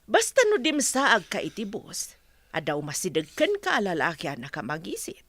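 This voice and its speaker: An actress with an Ilocano accent playing a secretive, bossy friend who hands out shady jobs in a radio drama. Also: female voice, medium pitch, huge pitch swings, normal speed, fairly bright tone, dry recording, exaggerated voice. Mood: coaxing, instructing.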